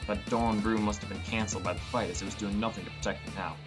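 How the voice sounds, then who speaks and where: Low-voiced man narrating over clear guitar music. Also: fairly even intonation, quick pace. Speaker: audiobook narrator. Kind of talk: first-person scripted fantasy narration. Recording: music bed under voice. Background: prominent plucked guitar music.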